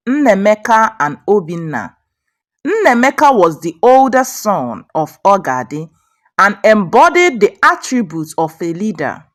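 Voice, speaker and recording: A woman narrating a scripted folktale as a storyteller, in a measured and expressive way. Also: mid-range voice.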